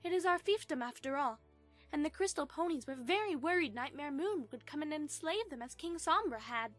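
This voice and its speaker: Woman as a high-voiced, gracious pony princess. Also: lively intonation, a little fast, fairly bright tone, faint music behind. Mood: earnest. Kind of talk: fan audio drama acting.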